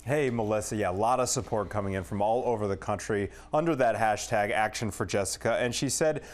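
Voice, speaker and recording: A man's voice: on the low side, a little fast. A man working as a news correspondent, giving a live report to the anchor.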